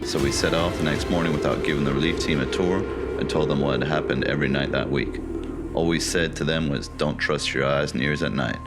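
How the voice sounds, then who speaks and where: A man playing a gruff, war-weary old soldier, telling his story in folksy, plain-spoken scripted narration. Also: a bass voice, steady tone, a little fast, loud music behind the voice. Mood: grim, haunted. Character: gruff, war-weary old male soldier recounting eerie wartime encounters. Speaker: voice actor. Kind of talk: scripted narration, film monologue voice reel.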